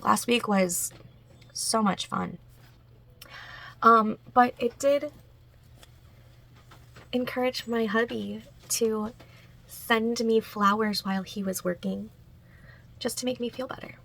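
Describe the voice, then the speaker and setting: A woman's voice: on the high side, takes it slowly, gravelly, pauses often, a bit bright, rough recording. A woman chatting casually in a writing vlog, a bit congested and nasal, with frequent fillers and little laughs. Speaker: writing vlogger.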